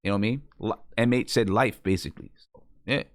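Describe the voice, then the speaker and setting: A man's voice: lowish voice, fast talker, dry recording. Casual, dry-sounding man hosting a show. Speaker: host of a music review show. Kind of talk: casual live show chat.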